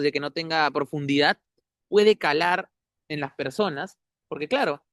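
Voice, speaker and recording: A man, a guest giving measured, academic analysis, often ending points with tag questions. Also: on the high side, a bit bright, no room sound, studio quality. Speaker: interview guest. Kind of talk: analytical conversational commentary.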